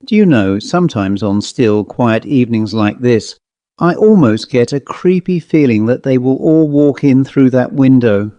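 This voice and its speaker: A man's voice: mid-range voice, expressive, measured pace, warm tone, dry recording, lightly acted. A man voicing a self-possessed, sly fifteen-year-old girl in a storybook, with a poised, confiding manner. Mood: solemn, confiding.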